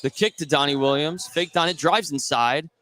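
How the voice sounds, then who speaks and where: A man's voice: on the high side, normal speed, pushed and bright. A man calling live basketball play-by-play from beside the bleachers in a gym. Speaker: play-by-play announcer. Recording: clear gym noise behind voice. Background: gym ambience.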